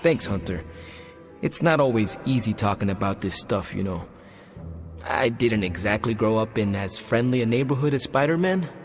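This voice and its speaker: A man's voice: on the low side, expressive, normal speed, soft background music, natural voice. A man voicing a friendly, casual young street-raised superhero in a video game. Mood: confiding. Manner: casual, conversational. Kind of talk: video game cutscene acting.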